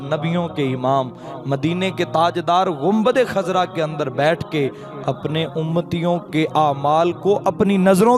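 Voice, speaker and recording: A man preaching a devotional sermon in an emphatic way, repeating phrases for effect. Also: a little high. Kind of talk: devotional sermon with recited couplets and quotations.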